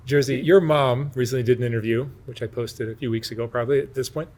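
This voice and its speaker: A man's voice: mid-range voice, fairly even intonation, fast talker, a little mellow. A man interviewing someone, asking short direct questions. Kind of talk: documentary interview.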